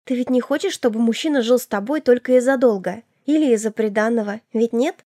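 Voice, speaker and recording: A woman's voice: on the high side, brisk, dark tone, no echo, clean studio sound, lightly acted. A woman voicing a caring, protective elder sister in her twenties in an audiobook, firm, reassuring and motherly. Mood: persuasive. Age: 20s. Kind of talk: audiobook character dialogue.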